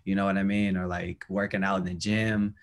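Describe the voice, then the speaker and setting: Young male host, lowish voice, friendly and talkative. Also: flat delivery, fairly quick. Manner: friendly and talkative, frequent fillers and affirmations. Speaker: podcast host. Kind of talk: casual interview chat.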